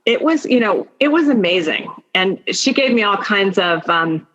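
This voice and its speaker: A woman speaking as an interview guest in a conversational, informal way, thinking aloud in halting phrases with many asides. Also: medium pitch, lively intonation, a bit bright. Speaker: podcast guest, attorney and executive. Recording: dull lo-fi sound.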